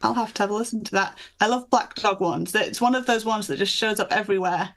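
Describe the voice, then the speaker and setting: A woman with a mid-range voice and a noticeable creak talks as a guest author. She explains things in an enthusiastic, conversational way, as in an interview. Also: medium pitch, fast, a bit bright. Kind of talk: conversational interview chat about folklore.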